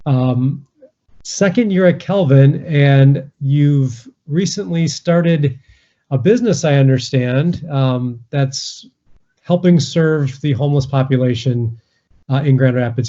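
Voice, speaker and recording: Mellow-voiced male interviewer. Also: mid-range voice, unhurried, muffled recording. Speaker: interviewer host. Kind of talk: interview questions.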